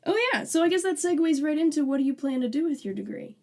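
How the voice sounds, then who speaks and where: A young woman in her twenties with a mellow, warm tone, co-hosting a student podcast. She talks in a relaxed, conversational way. She jokes and laughs easily. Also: sits high, fast. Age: 20s. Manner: casual and joking, laughs easily. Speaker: podcast co-host, alternative medicine student. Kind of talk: casual chat.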